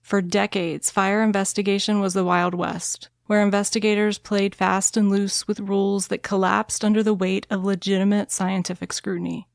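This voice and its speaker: A woman hosts a true crime podcast and reads her narration in a calm, soothing, measured voice. The sound is dry and close to the mic, with no room around it. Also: mid-range voice, vocal fry, no echo. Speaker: podcast host.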